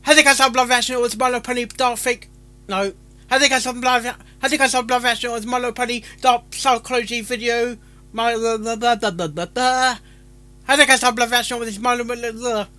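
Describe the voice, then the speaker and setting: A man's voice: very high-pitched, steady tone, brisk, sharp tone, faint music behind. A man chatting casually as a live-stream reactor, stumbling over his words and interrupting himself. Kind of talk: casual live-stream chat with rehearsed intros.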